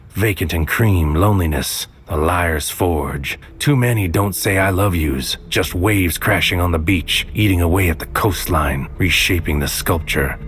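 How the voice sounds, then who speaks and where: An actor playing a troubled, haunted agent in a surreal audio drama, speaking in a brooding, deliberate, poetic way. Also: male voice, low-pitched, a bit bright. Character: troubled, haunted male agent narrating his own surreal missions. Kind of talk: noir-like poetic audio drama narration and dialogue. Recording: music bed under the voice.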